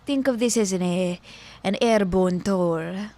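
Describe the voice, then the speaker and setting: A woman's voice: medium pitch, measured pace, background noise, natural voice. A woman playing a clever, teasing immortal witch in an audio roleplay, with a playful, mock-courteous manner. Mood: wistful storytelling.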